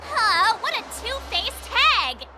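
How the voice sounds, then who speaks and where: A woman's voice: extremely high pitch, very animated, takes it slowly, heavily acted. A woman voicing a perky, cheeky teenage girl adventurer in an anime game, exclamatory and playful. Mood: indignant. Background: game music.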